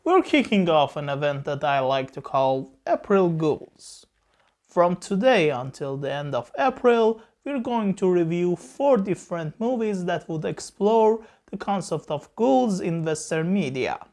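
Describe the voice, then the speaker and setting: Slow-speaking woman host. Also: lowish voice, big pitch swings, darkish tone. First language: Persian. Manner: measured, lecturing delivery with frequent "uh" pauses. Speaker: film review host. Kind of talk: explanatory film review talk.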